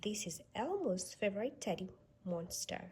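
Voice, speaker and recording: A woman reading a children's storybook aloud with expressive, sing-song delivery aimed at young children. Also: mid-range voice, moderate pace, a little hiss or hum. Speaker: storybook reader.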